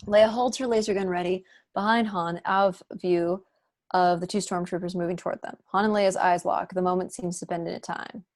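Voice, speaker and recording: A woman's voice: lowish voice, fairly even intonation, normal speed. A young adult woman reading scripted stage directions as narrator at an online table read. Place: room at home, video call.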